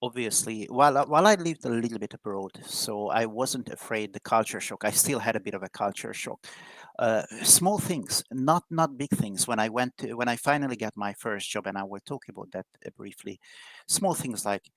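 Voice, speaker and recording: A man in his forties from Hungary with a Hungarian accent, a guest on a live-stream interview, talking haltingly with many restarts. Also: on the low side, lively intonation, a bit bright, dry recording, low-quality recording. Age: 40s.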